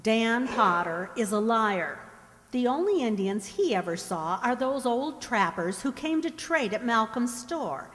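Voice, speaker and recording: In a reverberant hall, a woman plays an earnest young frontier woman with a fairly high voice. She is a bookish, principled elder sister who instructs firmly, in radio-drama style. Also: big pitch swings, a little slow. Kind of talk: radio play acting.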